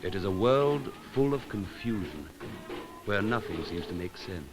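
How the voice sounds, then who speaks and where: Male narrator for a vintage educational film: low register, measured and solemn, lyrical phrasing, deliberate pauses, music on the track. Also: lively intonation. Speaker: documentary narrator.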